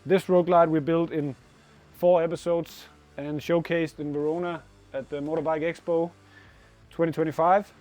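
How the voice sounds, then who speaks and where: A Danish man in his thirties speaks English with a Danish accent, halting often on fillers. His voice sits a little high, and faint music plays underneath. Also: flat delivery, darkish tone. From Denmark. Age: 30s. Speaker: motorcycle shop co-owner. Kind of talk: casual chat. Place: motorcycle workshop showroom.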